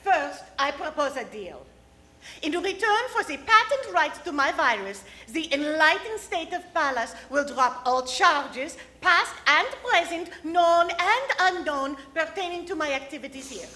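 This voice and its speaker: A woman's voice: high voice, lively intonation, unhurried, sharp tone, exaggerated voice. A woman playing a scheming French villain geneticist with a French accent in a comic pulp radio play, theatrical and gloating. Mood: gloating, amused. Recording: live stage radio performance.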